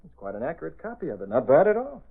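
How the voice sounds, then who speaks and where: An actor playing a shrewd, well-to-do newspaper publisher, authoritative and drily teasing, in an old radio drama. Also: male voice, on the high side, wildly swooping pitch, very fast, creaky, husky, phone-quality sound, heavily acted. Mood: dry, sly. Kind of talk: 1930s-40s radio drama acting. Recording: band-limited old radio broadcast recording.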